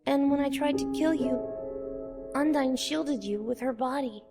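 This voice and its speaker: A woman playing a haunted young child in a fan comic dub, solemn and deliberate. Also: high-pitched, music in the background. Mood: grim, confessing. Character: haunted young child confessing past killings.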